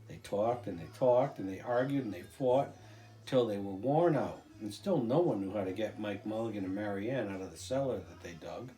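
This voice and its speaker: Older man, dramatic picture-book storyteller; soft-edged mellow tone, roomy echo, music behind. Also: fairly low pitch, normal speed. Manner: expressive, dramatic, with occasional stumbles. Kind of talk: animated children's picture book reading.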